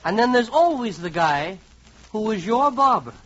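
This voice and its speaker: A man's voice: high voice, big pitch swings, moderate pace. A man narrating a 1940s radio comedy in a wry, conversational, second-person style. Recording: muffled, faintly noisy 1940s radio recording.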